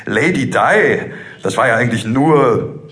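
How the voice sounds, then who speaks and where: A man's voice: mid-range voice, lively intonation, measured pace, fairly bright tone. A man playing a know-it-all student hacker in his early twenties, hesitant at first, then explaining emphatically. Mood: earnest, explaining. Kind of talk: audio drama dialogue.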